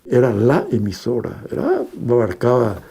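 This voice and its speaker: A man's voice: medium pitch, wildly swooping pitch, normal speed, dark tone, slightly rough. A man in a conversational interview who often ends phrases with tag questions.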